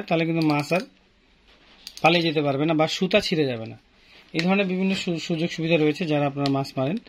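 A man with a Bangladeshi accent informally showing products as a shop seller, explaining and repeating himself, recorded on a phone in a small shop. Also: on the high side. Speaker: fishing tackle seller. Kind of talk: informal product showcase for customers. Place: small indoor fishing tackle shop. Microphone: handheld phone near the speaker. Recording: noisy phone recording with clicks.

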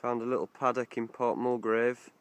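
A man's voice: medium pitch, little pitch movement, normal speed, smooth. A young man talking casually to a handheld phone while wild camping outdoors, chatty and swearing now and then. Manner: chatty, swearing, laughs easily. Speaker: vlogger, wild camper. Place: outdoors on a coastal hillside.

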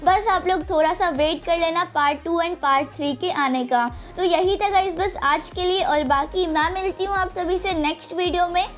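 Very high-pitched young Indian woman. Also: moderate pace, few pauses. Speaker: gaming channel host. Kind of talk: explanatory game event walkthrough, addressing viewers. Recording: voice-over with music underneath. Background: background music.

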